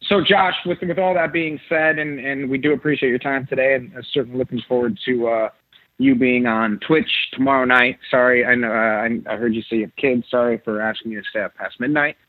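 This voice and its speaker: A man with a Chicago accent hosting an interview, chatty and halting, with many restarts. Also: mid-range voice, fairly even intonation, no room sound. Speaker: host. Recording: tinny dry phone line.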